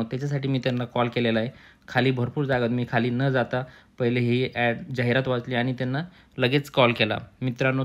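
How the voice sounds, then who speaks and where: Fast-talking young man, fairly even pitch. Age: young adult. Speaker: student making an exam and job information video. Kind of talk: informal explainer to viewers.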